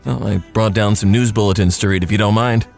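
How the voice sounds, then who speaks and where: A man's voice: on the low side, fairly even intonation, fast, vocal fry, faint music behind. An actor playing a laid-back, sardonic radio newsman and disc jockey in an audio drama, with a wry, casual manner. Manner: wry, casual, dry-humored. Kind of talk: naturalistic audio drama acting.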